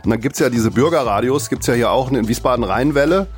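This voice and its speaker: A man in his fifties, the comedian guest, tells anecdotes in a mid-pitched voice on a studio microphone. He jokes along the way, and faint music plays under the talk. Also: fast. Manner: anecdotal storyteller, jokey, acts out quotes. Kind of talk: casual radio interview. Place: radio studio.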